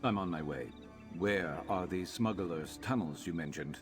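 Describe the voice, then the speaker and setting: An actor playing a gruff, world-weary lizardfolk mercenary in a video game, guarded in manner. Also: male voice, low-pitched, a little slow, music in the background. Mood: guarded, resolute. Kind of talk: scripted video game dialogue.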